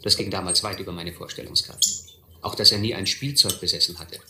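A very bright, forceful voice in an echoey recording with faint noise. a grown man looking back on his boyhood, narrating a radio play with measured, literary phrasing. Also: sits low, fairly even intonation. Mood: reflective.

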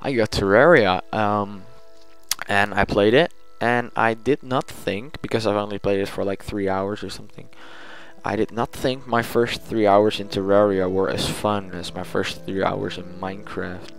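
A man's voice: fairly low pitch, steady tone, moderate pace, gravelly, soft background music. A man talking casually in an audio log as a gaming channel host, chatty and thinking aloud.

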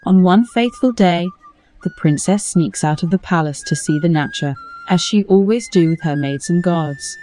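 A woman's voice: fairly low pitch, normal speed, dark tone, slight background noise, poor audio. A woman narrating a scripted folktale as a storyteller. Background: music under the voice.

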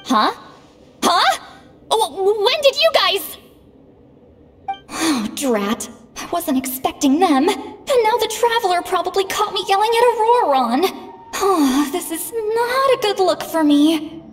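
A haughty shaman lady from a video game, theatrical and easily flustered. Her high voice swoops about and lingers slowly over words, ringing with long reverb. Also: sing-song, heavily acted. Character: haughty, scolding young-sounding granny shaman, easily flustered.

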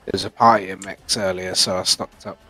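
Low-voiced man in casual gamer chat. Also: flat delivery, brisk, bright, forceful tone, husky, no echo, soft background music. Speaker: roleplay gamer playing a police trainee. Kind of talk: casual chat in online game.

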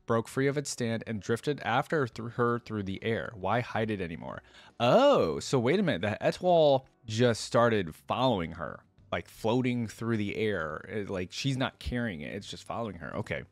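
A man's voice: on the low side, dry recording. A young man, reading a story aloud and adding casual reactions, recorded indoors on a close desk mic. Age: young adult. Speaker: reaction video host. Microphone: close desk microphone, with headset worn.

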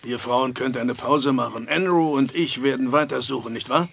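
A man's voice: mid-range voice, moderate pace, gravelly, fairly bright tone, slight background noise. An actor playing a worried, sceptical but caring family man in a German audio drama, with a terse, direct manner. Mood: concerned.

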